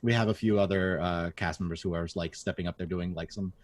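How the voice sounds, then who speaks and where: A man chatting casually on a panel as a podcaster, talking in a candid, informal, somewhat halting way. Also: low-pitched, steady tone, dry recording.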